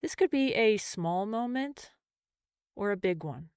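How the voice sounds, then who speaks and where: A woman leading a guided meditation as a mindfulness teacher, speaking gently and in measured phrases with pauses. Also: mid-range voice, animated, smooth, dry recording, clean studio sound.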